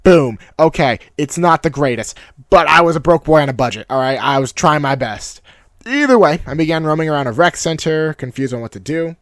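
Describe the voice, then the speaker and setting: A young man with a deep voice looking back on a game, talking casually and nostalgically with self-mocking asides. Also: expressive, fairly quick, dry recording, clean studio sound. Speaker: YouTube creator. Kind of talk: reflective personal vlog narration.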